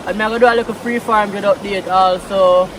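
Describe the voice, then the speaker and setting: A man's voice: high-pitched, fairly even intonation, brisk, noisy background. A man from Jamaica with a Jamaican Patois accent, chatting casually in a vlog by a river and mixing Patois into his English. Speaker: vlogger. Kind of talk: casual vlog talk outdoors. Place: outdoors by a river.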